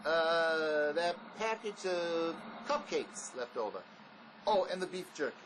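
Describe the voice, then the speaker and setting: A man's voice: on the high side, lively intonation, takes it slowly. A man acts a pragmatic fugitive ex-convict in an audio drama, with widely swinging intonation. He is terse, bossy and dismissive. Faint noise sits behind the voice. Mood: bossy, dry.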